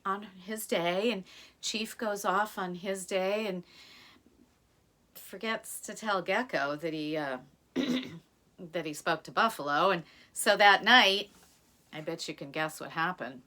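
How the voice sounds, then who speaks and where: Slow, bright American woman storyteller. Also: mid-range voice. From United States. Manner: expressive, dramatic pauses. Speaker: musical storyteller. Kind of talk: expressive folktale storytelling.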